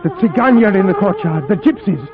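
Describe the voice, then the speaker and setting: Scared young man trapped and desperate, high voice, dramatic, muffled 1940s radio sound. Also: huge pitch swings, fairly quick, over loud music. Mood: fearful. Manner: intense, dramatic. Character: desperate, frightened young male captive keeping a journal. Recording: dull 1940s radio recording.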